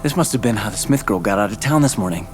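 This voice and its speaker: Actor as by-the-book soldier, animated voice. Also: male voice, fairly low pitch, fast, soft background music, lightly acted. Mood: curious. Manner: firm, insistent, procedural. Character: dutiful male soldier, stern by-the-book superior. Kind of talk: audio drama acting.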